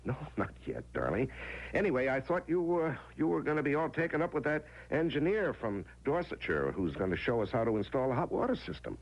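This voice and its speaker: An actor playing an affectionate but evasive husband in an old radio drama, hesitant and reassuring. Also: male voice, medium pitch, very animated, a little fast. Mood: eager, breezy. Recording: dull, noisy old radio recording.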